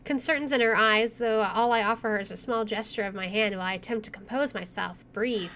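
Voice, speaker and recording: A woman game streamer with an expressive, excitable delivery, reading aloud and commenting as she plays. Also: mid-range voice, a little fast, slight background noise. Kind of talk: dramatic reading aloud and let's play commentary. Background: faint game music.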